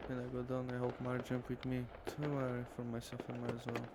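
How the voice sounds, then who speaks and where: Man narrating in a creaky voice. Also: mid-range voice, monotone, heavy background noise. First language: Portuguese. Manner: halting, stumbling over words. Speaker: streamer reading a visual novel aloud. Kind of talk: amateur dramatic read-aloud of visual novel narration.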